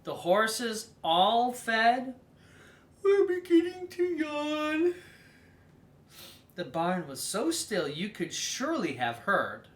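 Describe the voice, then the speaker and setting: A person reading a children's story aloud as host, in a theatrical, drawn-out way with emphatic stresses. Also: mid-range voice, very animated, reverberant.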